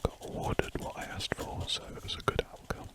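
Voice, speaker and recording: ASMR whisperer, soft and rambling. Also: brisk. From United Kingdom. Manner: soft rambling whisper with frequent restarts and self-corrections. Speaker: ASMR artist. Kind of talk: whispered ASMR chat while drawing.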